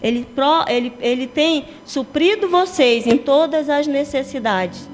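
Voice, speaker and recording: A woman's voice: sits high, unhurried, soft background music. A woman pastor and missionary preaching a sermon with a Brazilian Portuguese accent, often asking the congregation "amém, queridos?". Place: church hall. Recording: long hall reverb.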